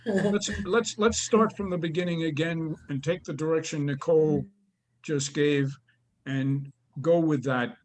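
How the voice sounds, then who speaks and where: A man's voice: a little high, steady tone, unhurried. A man teaching an acting class, praising students and giving notes, often restarting his sentences. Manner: warm praise, stammering restarts.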